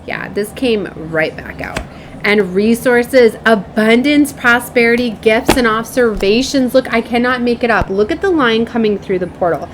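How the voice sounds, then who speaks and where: A woman's voice: on the high side, lively intonation, moderate pace. A woman giving an informal, effusive spiritual card reading, drawing out key words for emphasis. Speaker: tarot and oracle card reader. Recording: clearly noisy background.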